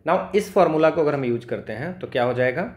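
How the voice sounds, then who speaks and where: A man's voice: on the high side, fast talker. A man with an Indian accent teaching step by step as a maths tutor, in an instructional way, repeating phrases and checking understanding with short tags. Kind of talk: step-by-step exam lesson, explaining worked solutions. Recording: echoey, roomy sound.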